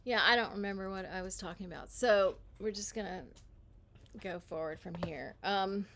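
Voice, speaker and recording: A woman talking alone on a livestream from her home, rambling with halting restarts. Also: medium pitch, gravelly, fairly bright tone. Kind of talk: casual livestream monologue.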